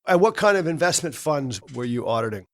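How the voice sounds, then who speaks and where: A man's voice: fairly high pitch, lively intonation, brisk, a bit bright. A middle-aged man hosting a podcast interview, asking friendly, leading questions. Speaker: podcast host.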